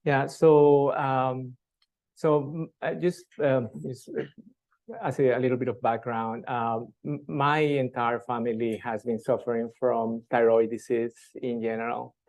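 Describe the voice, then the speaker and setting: A man from Colombia, a patient advocate, answering questions at a live panel in a halting way with many pauses and fillers. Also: medium pitch, flat delivery, a little mellow, low-quality recording. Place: event room with audience.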